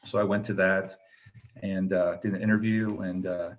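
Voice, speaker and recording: A man's voice: deep voice, little pitch movement, moderate pace, muffled recording. A middle-aged man, a retired military pilot turned actor, answering interview questions casually and rambling, with many fillers and restarts.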